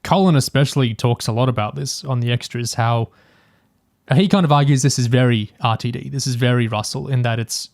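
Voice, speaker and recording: A man's voice: mid-range voice, brisk, gravelly, a little mellow, no room sound, studio quality. A man in a casual review discussion as a podcast host, thinking aloud in a hesitant way.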